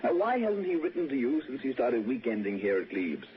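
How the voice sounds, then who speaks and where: An actor playing a gentle, wistful, courteous lord in 1960s radio drama, speaking with hesitant, softly persuasive politeness. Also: male voice, mid-range voice, big pitch swings, a little fast, lightly acted. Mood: earnest, probing. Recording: dull, noisy 1960s radio recording.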